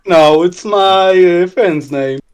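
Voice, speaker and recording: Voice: mid-range voice, slow, a little mellow, clean tone, natural voice. Someone putting on a mock Indian scam caller. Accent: put-on Indian English. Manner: teasing, repetitive taunts. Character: put-on scam caller man, teasing. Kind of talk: casual banter.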